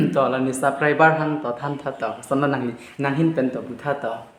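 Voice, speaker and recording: A man's voice: mid-range voice, fairly even intonation, moderate pace. A man who vlogs, chatting casually as he makes a prank phone call. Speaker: prank caller and vlogger. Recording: roomy echo.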